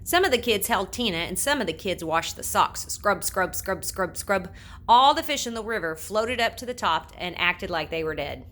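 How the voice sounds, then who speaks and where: A woman reading a children's story aloud in an expressive, playful manner. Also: mid-range voice, normal speed. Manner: animated, with playful repetition and sound words. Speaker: storybook reader.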